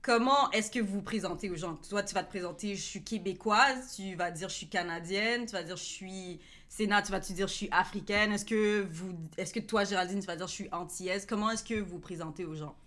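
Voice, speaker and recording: A woman's voice: medium pitch, brisk, few pauses, a bit bright. A woman hosting a casual chat, asking long, searching questions. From Quebec. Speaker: host.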